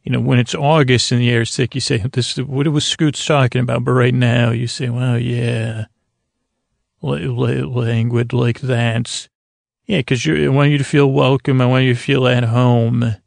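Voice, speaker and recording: A man with a creaky voice hosting a bedtime podcast in a languid, meandering, soothing ramble, often stumbling over his words. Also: mid-range voice, little pitch movement, normal speed, no room sound, studio quality. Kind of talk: rambling, soothing sleep-aid monologue.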